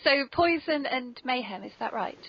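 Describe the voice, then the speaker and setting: A woman's voice: high-pitched, expressive, moderate pace. A woman podcast host interviewing in a polite, playful, conversational way, on a muffled lo-fi recording. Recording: dull, muffled lo-fi podcast audio.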